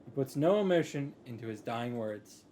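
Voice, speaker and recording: A man's voice: medium pitch, expressive, normal speed, dark tone, slight background noise. A man reading visual novel narration aloud in a dramatic style, reacting off the cuff.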